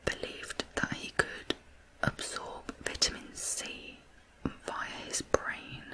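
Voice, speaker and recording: A woman hosting ASMR true crime, narrating in a soft, breathy whisper with a measured manner. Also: rough recording.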